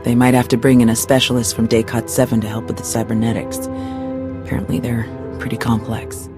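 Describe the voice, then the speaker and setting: An actor voicing a sincere, weary Jedi comrade in a video game, in a low-key, plainspoken way. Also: male voice, little pitch movement, a little fast, music in the background, lightly acted. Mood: sincere, subdued. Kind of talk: video game cutscene acting.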